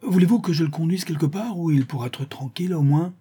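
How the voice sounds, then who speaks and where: A man voicing a rough but compassionate storybook character who speaks in an earnest, plain-spoken way. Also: a little high, fast, mellow, voice pushed up. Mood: pitying. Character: rough, savage-looking man, compassionate and honest.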